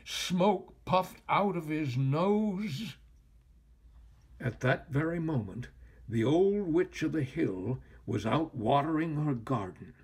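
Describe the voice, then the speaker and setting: Older man, children's storyteller, husky, slow and deliberate, very animated pitch. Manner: expressive, deliberate. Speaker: storyteller, actor. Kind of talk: dramatic children's read-aloud narration.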